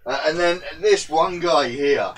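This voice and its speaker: A man's voice: a little high, measured pace, recorded from a distance, slight background noise. A man hosting a live stream, casually talking through his moves in a tabletop game with frequent hesitations. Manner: relaxed, hesitant, lots of uh. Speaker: stream host and tabletop player. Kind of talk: casual livestream game commentary.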